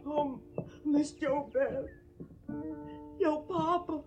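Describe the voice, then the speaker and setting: An actress playing a devoted, plain-spoken older household servant with a husky voice and a Southern US accent, in a radio drama. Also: female voice, sits high, extremely slow, over music. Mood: sorrowful. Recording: slightly dull old radio recording.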